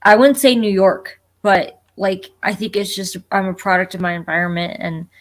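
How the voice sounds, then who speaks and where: A woman's voice: mid-range voice, normal speed. A woman from Florida co-hosting a casual livestream chat over a video call, chatty and self-deprecating. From Florida, United States.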